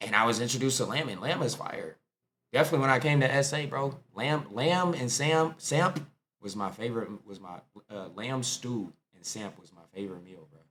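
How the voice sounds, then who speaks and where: A young American man reacts to clips in easygoing, slang-heavy banter. His accent is plainly American and his voice sits at an ordinary pitch. He talks like he's hanging out with friends. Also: normal speed, slight background noise. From United States. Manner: laid-back slangy banter, laughs loudly. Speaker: reaction video host. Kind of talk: casual reaction commentary.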